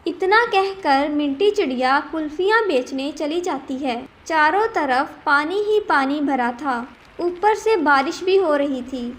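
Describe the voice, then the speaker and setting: Woman, storyteller, very high pitch, slow pace, scripted children's story narration. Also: animated, a bit bright.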